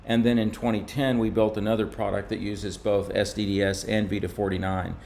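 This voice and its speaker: A man with a fairly low voice explains technical material as a presenter. His delivery is measured and informal, like walking through slides. Faint noise sits behind the voice. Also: flat delivery, creaky, darkish tone. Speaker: presenter from a radio maker.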